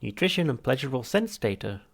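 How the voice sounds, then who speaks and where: A man's voice: mid-range voice, very animated, fast, vocal fry, pitched above the performer's own voice. A man voicing a relentlessly upbeat drink-dispensing machine in an audiobook, with a sing-song corporate manner. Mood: chirpy, sweet. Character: cheerful, relentlessly sweet drink-dispensing machine turning terse. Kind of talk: audiobook character voice.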